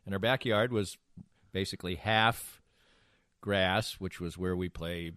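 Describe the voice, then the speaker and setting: A man's voice: deep voice, measured pace, a bit bright, clean tone, no room sound, clean studio sound. A middle-aged American man in casual podcast banter, telling anecdotes in a rambling way. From Massachusetts, USA. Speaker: podcast co-host.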